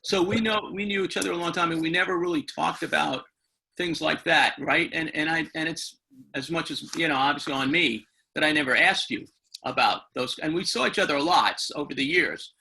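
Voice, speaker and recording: Bright, gravelly-voiced man hosting informally. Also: on the high side, fairly quick, rough recording. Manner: rambling, circles back, seeks agreement with "right?". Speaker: webinar host, interviewer. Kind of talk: informal conversational interview. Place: remote webinar call.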